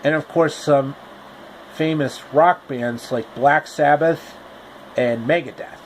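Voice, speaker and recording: Slow, rambling male storyteller. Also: on the high side, a little hiss or hum. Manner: loose, rambling narration with restarts. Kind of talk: casual improvised story retelling.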